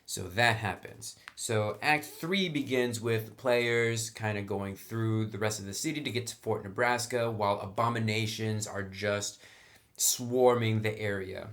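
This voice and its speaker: A man's voice: fairly low pitch, a little slow, a bit bright. A man giving a casual, explanatory review as a tabletop game reviewer, with some enthusiasm. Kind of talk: casual product review talk.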